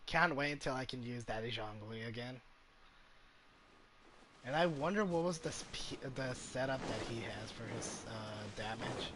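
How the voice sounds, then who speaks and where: A man's voice: medium pitch, normal speed, noisy background. A man giving casual, off-the-cuff commentary while playing a video game, with its music behind him. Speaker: gamer commenting on own gameplay.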